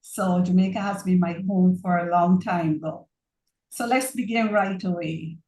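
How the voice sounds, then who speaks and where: A woman's voice: fairly low pitch, fairly even intonation, mellow. A woman from Barbados with a Barbadian accent, giving an earnest, personal online presentation on governance. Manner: earnest, personal, measured speaker. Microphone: video call. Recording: roomy echo on a video call.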